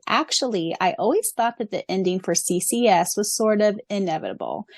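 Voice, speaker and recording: A woman's voice: fairly low pitch, no room sound. A woman co-hosting a casual fan podcast who reads listener questions aloud and laughs often. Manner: giggly, laughs often between remarks. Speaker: podcast co-host.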